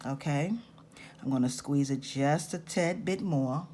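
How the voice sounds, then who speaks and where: Woman, slightly raspy, unhurried step-by-step craft teaching, faint hiss behind. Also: sits low, mellow. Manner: slow, patient step-by-step instructions. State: raspy-voiced this morning. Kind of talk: hands-on craft tutorial.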